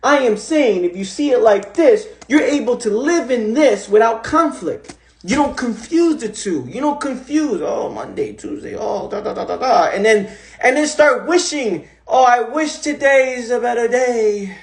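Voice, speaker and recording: A man hosting a casual, animated motivational talk on a phone livestream. He repeats himself often and swears casually. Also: very high voice, measured pace. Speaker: host of an Instagram live.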